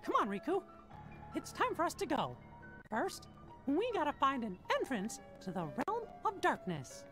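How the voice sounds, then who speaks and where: Game role: a gallant little cartoon mouse king, high falsetto, very swoopy, sincere, music behind. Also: huge pitch swings, normal speed, exaggerated voice. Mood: earnest, determined. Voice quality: squeaky falsetto. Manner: earnest, gentle. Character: small, kindly, brave male cartoon mouse king. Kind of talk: video game cutscene acting.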